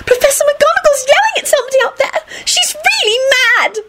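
Shrill, squealing teenage schoolgirls. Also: sing-song, fairly quick, a little hiss or hum. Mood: thrilled. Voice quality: shrill, nasal. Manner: squealing, wailing, gushing. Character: excitable, giggly teenage schoolgirls, squealing and melodramatic.

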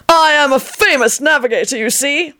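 A woman voicing an eccentric, boastful old man with a warbling, croaky voice. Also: high-pitched, moderate pace, sharp tone, voice pushed up. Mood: excited. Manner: cackling, blustering, indignant.

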